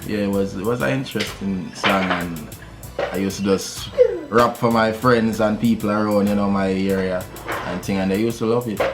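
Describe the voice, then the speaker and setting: A Jamaican man, a musician, telling his story in a relaxed interview, with many fillers and easy laughs. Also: fairly low pitch, normal speed, music in the background. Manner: laid-back, many fillers, laughs easily. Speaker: musician guest.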